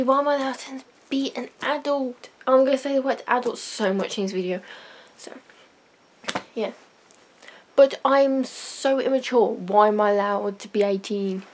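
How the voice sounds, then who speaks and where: A teenage girl rambling in a casual, self-mocking vlog, talking into a webcam in her bedroom. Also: high voice. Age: teens. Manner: rambling, self-mocking, loud sudden outbursts. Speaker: vlogger. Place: small bedroom, indoors. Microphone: webcam at desk.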